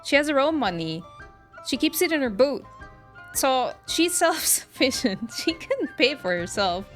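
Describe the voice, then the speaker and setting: Casting: female game streamer, light higher voice, leisurely casual commentary with music behind her. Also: sing-song, a little slow. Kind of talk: casual live reaction commentary.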